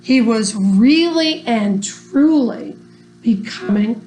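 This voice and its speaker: A woman reading a rhyming children's story aloud in an expressive, deliberate storytelling manner. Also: fairly high pitch, a little hiss or hum. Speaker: storybook reader.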